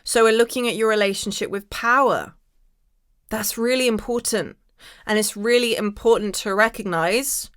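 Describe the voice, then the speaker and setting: Female podcast host, mid pitch, slightly hoarse, emphatic motivational delivery, dry recording. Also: a bit bright, no echo. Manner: emphatic, repeats key phrases. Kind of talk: motivational spiritual monologue to listeners.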